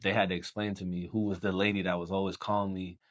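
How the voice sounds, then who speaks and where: A young man from Haiti, a Creole speaker, telling his story as a podcast guest in relaxed slangy chat. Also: low voice, quick pace. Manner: relaxed storytelling, slangy, says bro often. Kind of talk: casual chat.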